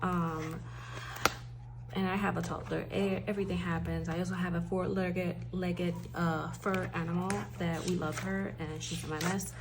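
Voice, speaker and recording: A woman's voice: on the low side, little pitch movement, moderate pace, background noise. A woman from Puerto Rico with a Puerto Rican accent, chatting casually and rambling a little as she talks through planners in a home vlog. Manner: chatty, rambling, self-correcting. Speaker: planner vlogger, stay-at-home mom.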